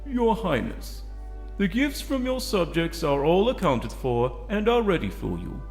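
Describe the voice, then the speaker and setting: A man's voice: on the high side, sing-song, unhurried, a little mellow. A man playing a pompous, fussy pony royal chamberlain with a formal, theatrical delivery in a dramatic reading. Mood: formal, deferential. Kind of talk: dramatic fan fiction reading. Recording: long hall reverb over music.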